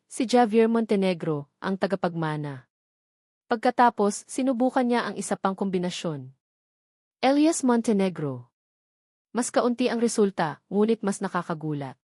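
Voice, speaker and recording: Filipino woman, audiobook narrator. Mid-pitched, dry studio sound, dramatic and deliberate phrasing. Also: a little mellow, no echo. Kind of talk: dramatic scripted story narration.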